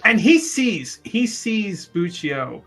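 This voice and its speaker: A man's voice: high voice, very animated, slow, a bit bright. A man playing a tabletop roleplaying game, chatting casually and playfully about what his character does. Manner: jokey, laughs often. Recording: music playing behind. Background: background music.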